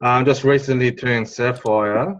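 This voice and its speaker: A man introducing himself on a video call in a relaxed, hesitant way. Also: medium pitch, unhurried, over music. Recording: muffled video call audio.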